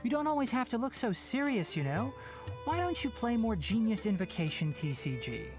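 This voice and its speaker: An actor playing a calm young forest ranger in a game, level and gently teasing. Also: male voice, high voice, moderate pace. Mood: gentle. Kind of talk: game dialogue. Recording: game audio with loud music behind.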